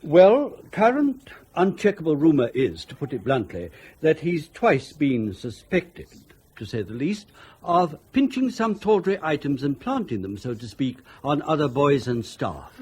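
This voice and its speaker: A man's voice: on the high side, sing-song, a little slow, a little mellow, slight background noise. An actor playing a politely evasive British schoolmaster in a radio drama, formal, hesitant and roundabout in his speech. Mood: awkward, tactful.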